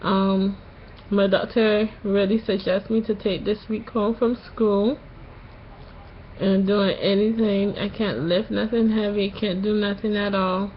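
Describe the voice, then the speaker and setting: A young woman vlogging just after tonsil surgery, a little nasal, talking slowly through a sore throat with many pauses. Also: mid-range voice, little pitch movement, highs cut off, a little hiss or hum. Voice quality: slightly nasal. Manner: slow, halting, pauses often. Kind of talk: casual vlog to camera.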